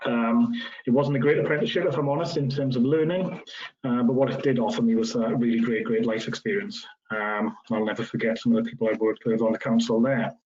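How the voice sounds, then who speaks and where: A man in his late thirties with a South Welsh accent, talking easily about his working life and filling his pauses with ums. His delivery stays fairly level in tone. The sound is dull and lo-fi, as on a video call. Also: medium pitch. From South Wales. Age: 30s. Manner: relaxed, conversational, frequent ums. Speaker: railway professional giving a careers talk. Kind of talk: casual career talk.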